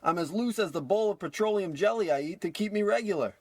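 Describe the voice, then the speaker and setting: A man acts out an old miser trying to be good in a spoof of vintage radio drama. His voice sits high, and he comes across as cranky, self-absorbed and manic. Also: animated, quick pace, dry recording. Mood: giddy, excited.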